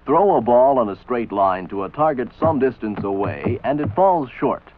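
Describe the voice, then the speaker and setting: A man's voice: medium pitch, expressive, soft background music. Tinny old training-film American male narrator. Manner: authoritative, measured, didactic. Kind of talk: scripted instructional narration. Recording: tinny band-limited old film soundtrack. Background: voice-over for an old military training film.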